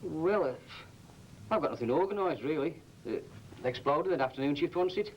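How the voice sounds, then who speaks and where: A matey, harried mine shot firer who talks fast and a little high, in colloquial, offhand grumbles. It sounds like an old training film with a noisy soundtrack. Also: male voice, expressive, highs cut off. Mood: harried, reluctant. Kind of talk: acted training film dialogue.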